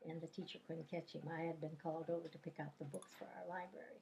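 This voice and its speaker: A woman's voice: sits low, fairly even intonation, fast, creaky, dark tone, a little hiss or hum. An older woman, a retired teacher, reminiscing about her life in a casual interview, telling rambling stories full of fillers and restarts. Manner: rambling anecdotal storyteller, many fillers and restarts.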